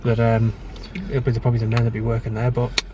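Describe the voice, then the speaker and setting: A man's voice: medium pitch, completely flat delivery, fast, muffled recording. A man chatting casually in a vlog, with hesitant, tentative phrasing. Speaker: vlogger's partner. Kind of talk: casual chat. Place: inside a car. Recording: slightly distant, faint background noise.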